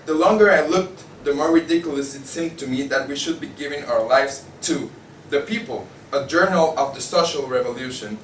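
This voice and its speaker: A man's voice: on the high side, moderate pace, far from the mic, lightly acted. A man playing a jaded, disillusioned journal editor in a table read of a stage play, stumbling now and then over his lines. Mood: weary, bitter. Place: indoor room, table read. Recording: echoey room from afar, faint noise.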